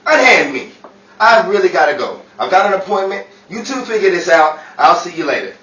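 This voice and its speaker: Man playing high-pitched jittery buddy in a radio comedy. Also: sing-song, brisk, bright, forceful tone, exaggerated voice. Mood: flustered protest. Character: Mason, jittery excitable male buddy dodging trouble. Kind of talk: old-time radio comedy acting. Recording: roomy, distant, noisy amateur radio play.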